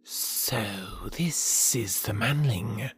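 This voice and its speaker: Huge old hissing python, breathy and slow. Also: male voice, medium pitch, no echo, exaggerated voice. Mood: slow, menacing. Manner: slow, drawn-out, deliberate speech. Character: huge old male python, slow, coolly menacing hunter.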